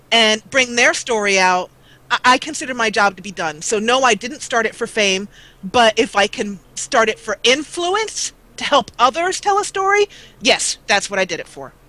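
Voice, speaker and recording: Bright-voiced middle-aged American woman. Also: on the high side, rough recording. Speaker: guest, gaming video creator. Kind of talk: live talk show conversation.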